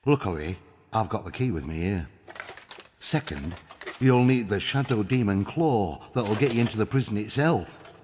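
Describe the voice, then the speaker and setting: Casting: man voicing blustery, anxious Northern English mayor, game cutscene, animated pitch, reverberant with faint noise. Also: normal speed, lightly acted. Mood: confiding. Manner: dramatic, exclamatory, folksy dialect. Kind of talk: video game cutscene acting.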